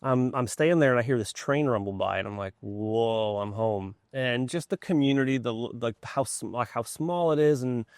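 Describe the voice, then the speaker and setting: Man, mid-pitched, informal and enthusiastic interview guest, dry close sound. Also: normal speed, few pauses, no echo. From Poland. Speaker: orchestra music director, interview guest. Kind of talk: casual conversational interview.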